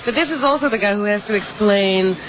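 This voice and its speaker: A male radio sidekick with a high, nasal voice who chuckles and teases his way through the banter. He sounds dull and lo-fi, like an old broadcast tape. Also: fairly quick, a bit bright, a little hiss or hum. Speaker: radio co-host.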